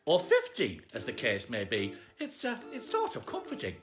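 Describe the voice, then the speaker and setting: A woman's voice: rumbling low, wildly swooping pitch, moderate pace, voice pushed down, heavily acted. A woman voicing a humble, muddled storybook bear who muses hesitantly. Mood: humble, puzzled. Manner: hesitant, musing. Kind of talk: children's storybook reading.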